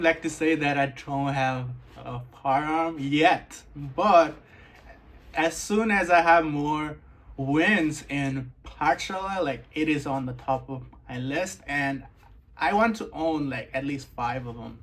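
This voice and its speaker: A young man from Nepal, a guest in a casual interview, relaxed and full of "like" fillers. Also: a little high, takes it slowly. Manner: relaxed, filler-heavy, says "like" often.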